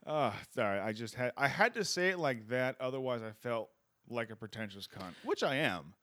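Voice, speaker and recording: A man's voice: medium pitch, moderate pace, dry recording. A man hosting a podcast, chatting casually and joking with plenty of swearing. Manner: animated, profane, joking. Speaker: podcast host.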